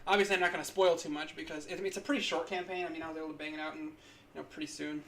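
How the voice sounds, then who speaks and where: A woman's voice: low-pitched, fairly even intonation, racing, a little hiss or hum. A woman in her twenties co-hosting a casual podcast, rambling with lots of likes and ums. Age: 20s. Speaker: podcast co-host.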